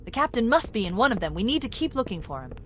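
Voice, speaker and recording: A woman playing a composed, analytical female military AI in a sci-fi game, briefing crisply and precisely. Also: fairly high pitch, very animated, fast, background noise. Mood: focused, briefing. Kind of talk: cinematic sci-fi game acting. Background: loud cinematic game music.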